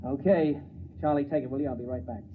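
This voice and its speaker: Busy captain over tinny intercom. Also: male voice, mid-range voice, steady tone, fast talker. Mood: busy, casual. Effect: intercom filter. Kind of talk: 1950s radio drama acting. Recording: thin intercom-filtered old radio, noisy.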